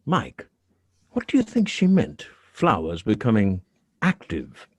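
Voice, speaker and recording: A man plays a middle-aged father in a radio drama. His husky voice swings very widely in pitch, recorded dry. He is genial and friendly, with an inquisitive, homely manner. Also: wildly swooping pitch, a little slow, no room sound, lo-fi sound, full character voice. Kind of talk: live script reading of a radio drama.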